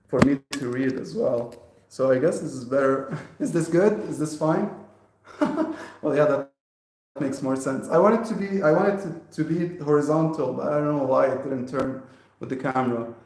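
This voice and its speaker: A man's voice: on the high side, darkish tone. A man from Lebanon, a native Arabic speaker, answering viewer questions on a casual live stream in an informal, hesitant way. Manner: informal, hesitant, chuckling often. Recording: very echoey, reverberant room.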